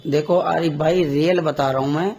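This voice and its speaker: A man's voice: fairly high pitch, steady tone, moderate pace, darkish tone, soft background music. A man arguing insistently on a phone call, repeating himself for emphasis. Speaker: caller on a recorded phone call. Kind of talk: heated phone conversation about local politics.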